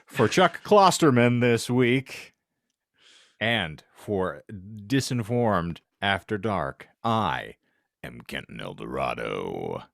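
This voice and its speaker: Low-voiced man co-hosting a podcast. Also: very animated, slow, creaky, a bit bright, dry recording, clean studio sound. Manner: wordy, florid phrasing. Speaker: podcast co-host. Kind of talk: casual chat.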